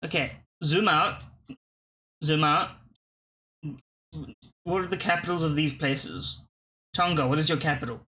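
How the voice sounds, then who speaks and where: A young man with a bright, slightly high voice, muttering and cursing his way through a geography guessing game. Faint keyboard typing sits behind his talk. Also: measured pace, long pauses, a little hiss or hum, poor audio. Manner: thinking aloud, profane, muttering and restarting. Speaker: streamer playing web games. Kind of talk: casual gameplay commentary.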